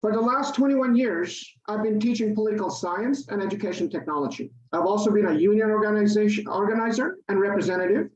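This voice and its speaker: A man, a college professor, giving a formal, measured public comment over a remote call. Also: sits high, echoey room, recorded from a distance, highs cut off.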